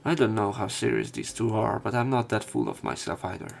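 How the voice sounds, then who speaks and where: A man narrates a visual novel as a let's play host. His voice is fairly low with a lot of creak, and he mixes reading with casual commentary. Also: lively intonation, a little fast, faint music behind.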